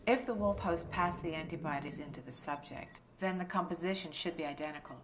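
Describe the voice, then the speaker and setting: Low-voiced woman scientist, played by an actress, calm and explanatory, faint ambience behind. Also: fairly quick, background noise, lightly acted. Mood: explaining. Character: woman scientist explaining blood test results.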